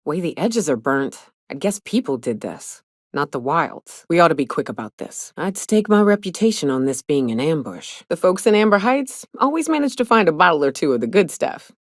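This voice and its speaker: An actress playing a blunt, cynical frontier huntress and guide in a video game, with a wry, plainspoken, folksy way of talking. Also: female voice, deep voice, very animated, moderate pace, no echo, clean studio sound. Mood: wary, firm. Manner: wry, plainspoken, swearing, folksy rustic phrasing. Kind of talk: video game character dialogue.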